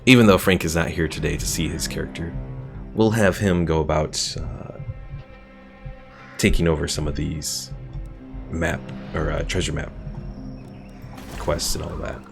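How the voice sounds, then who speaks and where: Low gravelly-voiced gaming streamer man. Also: fairly even intonation, a little slow, long pauses, music in the background. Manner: chatty, reads chat aloud, thinks out loud. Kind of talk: casual gameplay commentary.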